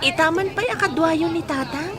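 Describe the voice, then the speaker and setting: A high-voiced schoolgirl. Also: moderate pace. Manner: polite, dutiful talk. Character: young schoolgirl daughter. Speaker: radio drama actress. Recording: radio drama with crowd behind.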